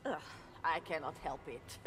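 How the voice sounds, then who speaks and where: An actress playing a sly, shady video game merchant who speaks in a halting, cryptic, insinuating way. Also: female voice, deep voice. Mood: sly, guarded. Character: sly, shady female merchant dealing in rare goods. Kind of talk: video game character dialogue. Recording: loud game music behind voice.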